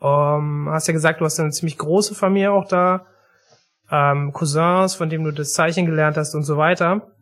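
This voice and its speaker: Higher-pitched man, casual interviewer. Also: steady tone, moderate pace, a bit bright. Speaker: interviewer, podcast host.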